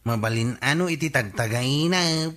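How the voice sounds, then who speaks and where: An actor playing a skeptical, teasing village friend in an Ilocano radio comedy, asking questions with an Ilocano accent. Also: male voice, medium pitch, normal speed, a bit bright, natural voice. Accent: Filipino, Ilocano.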